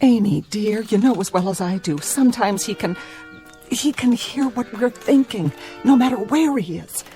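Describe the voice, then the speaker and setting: A woman's voice: medium pitch, lively intonation, moderate pace, mellow, soft background music, natural voice. An actress playing an anxious small-town mother in a radio drama, folksy and insistent, forcing cheerfulness out of fear. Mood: anxious, pleading. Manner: folksy, insistent, repeating reassurances.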